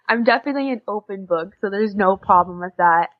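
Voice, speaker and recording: A woman's voice: mid-range voice, moderate pace. Chatty young American woman, slightly dull recording. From USA. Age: young adult. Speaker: podcast guest, exchange student. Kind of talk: casual interview chat.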